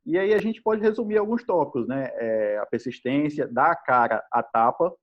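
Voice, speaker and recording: A man's voice: medium pitch, animated, moderate pace. A male host from Brazil, chatting with a guest on a live video call, friendly and enthusiastic with many fillers. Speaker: podcast host. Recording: dull, lo-fi call audio.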